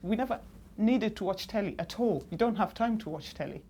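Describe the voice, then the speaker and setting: A woman, a documentary interviewee recalling her childhood in a lively, conversational way. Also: medium pitch, brisk. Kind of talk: reminiscing to camera.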